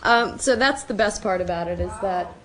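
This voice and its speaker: A woman's voice: medium pitch, moderate pace. Woman from Chicago, conversational, faint noise. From Chicago, United States. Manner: conversational, quotes people in anecdotes. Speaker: documentary producer. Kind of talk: informal panel answers with anecdotes. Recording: live event with faint noise.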